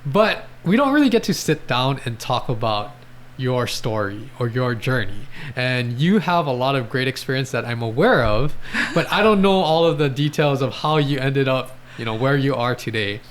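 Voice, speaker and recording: A man's voice: mid-range voice, expressive, normal speed, a little hiss or hum. A man in his thirties hosting a podcast, upbeat and eager, chatting casually with many fillers into a desk mic in a small studio. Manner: upbeat, eager, lots of fillers like "you know" and "right". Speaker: podcast host, athletic trainer. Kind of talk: casual interview chat. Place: small home studio, indoors. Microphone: podcast mic on a desk stand.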